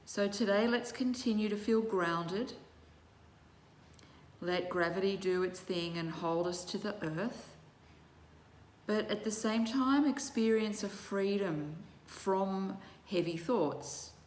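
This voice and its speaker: A woman leading a guided relaxation as a yoga teacher, speaking in measured, soothing short phrases with long pauses. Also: mid-range voice, a little mellow. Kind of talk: guided meditation instruction. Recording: roomy echo with faint background noise.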